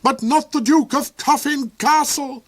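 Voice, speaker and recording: A man's voice: very high-pitched, fairly even intonation, unhurried, voice pushed up. A man voicing a cold, cruel duke who roars and sneers theatrically. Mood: roaring defiance.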